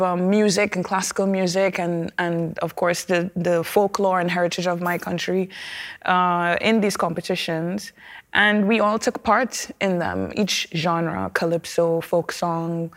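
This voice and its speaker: A woman from Trinidad and Tobago with a Trinidadian accent, a guest speaking thoughtfully in an interview and often pausing with fillers and restarts. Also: lowish voice. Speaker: opera singer, guest. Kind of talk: conversational interview.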